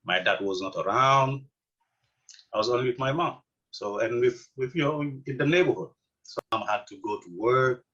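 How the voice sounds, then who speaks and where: A middle-aged man from Senegal with a Senegalese accent, answering interview questions casually with many pauses, fillers and restarts. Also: medium pitch, sharp tone, highs cut off. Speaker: interview guest.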